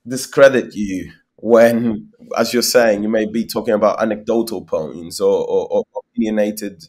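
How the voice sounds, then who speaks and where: A man's voice: on the low side, steady tone, moderate pace, darkish tone. Young man from Spain talking on a video call. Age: young adult. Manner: talkative, repeats words while thinking. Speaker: guest, company founder. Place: small room at home, indoors. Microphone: laptop webcam mic on a video call.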